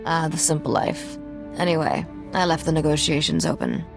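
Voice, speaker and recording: An actress playing a sardonic, self-assured young smuggler who is also an exiled royal heiress, in video game dialogue. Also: female voice, sits low, moderate pace, creaky, over music. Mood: wry, thoughtful. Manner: dry, wry, self-assured.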